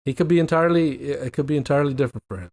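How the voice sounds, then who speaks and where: A man's voice: fairly high pitch, rapid-fire, a little mellow. Rambling Miami-accented man hosting. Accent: Miami English. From Miami, USA. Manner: rambling and jokey, repeats "you know what I mean". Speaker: podcast host. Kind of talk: casual chat.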